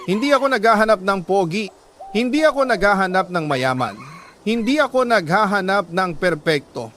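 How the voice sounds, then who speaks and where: A man narrating a first-person letter in an earnest, sentimental radio storytelling style, phrasing with measured pauses. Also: high-pitched, expressive, fairly bright tone, a little hiss or hum. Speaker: narrator reading a listener's letter.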